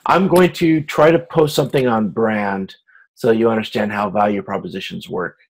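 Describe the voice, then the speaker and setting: A man's voice: lowish voice, normal speed, breathy edge. A man lecturing informally to a class, explaining step by step and often repeating and rephrasing himself as he goes. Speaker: university course lecturer.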